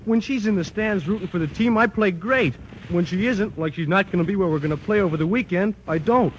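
Actor as a dim, lovestruck high school ballplayer, high-pitched drawl, 1940s radio, dull lo-fi sound. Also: male voice, natural voice. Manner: slow, earnest, ungrammatical drawl. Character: lovestruck gangly teen basketball star, slow-witted. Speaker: student athlete. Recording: lo-fi old radio broadcast with faint hiss.

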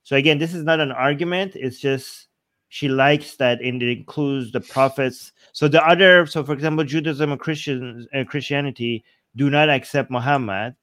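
A man's voice: mid-range voice, a bit bright, no room sound. Sarcastic man hosting, dry close sound. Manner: sarcastic, mocks arguments with quick imitated quotes. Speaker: host. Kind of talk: casual online panel discussion.

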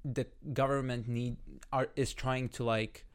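Latvian guy chatting, mid pitched voice, kinda hesitant, hedges a lot looking for words. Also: level tone, unhurried, clean tone. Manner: hesitant, hedging, searching for words. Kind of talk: casual discussion.

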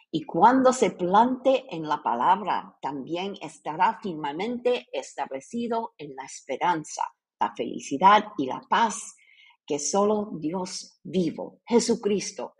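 A woman with a Puerto Rican accent, hosting a religious podcast with scripted devotional narration and scripture readings in a deliberate, earnest manner. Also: on the low side, breathy edge. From Puerto Rico.

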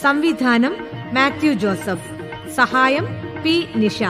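A woman radio announcer reading the closing credits of a radio play in a measured, formal way. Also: high-pitched, lively intonation, music in the background.

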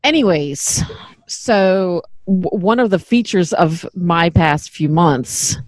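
A woman's voice: fairly low pitch, slow pace, no room sound. A middle-aged woman hosting a podcast in casual chat, candid and self-deprecating, swearing freely. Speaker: podcast host.

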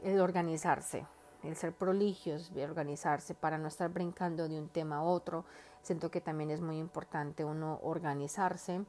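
A woman's voice: on the low side, darkish tone. A woman hosting her own podcast in a casual, earnest and heartfelt monologue, rambling with lots of fillers. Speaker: podcast host.

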